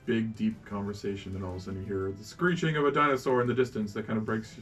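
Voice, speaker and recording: A man narrating as game master of a tabletop roleplaying game, explaining things in a casual, halting way. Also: fairly low pitch, fairly even intonation, faint music behind.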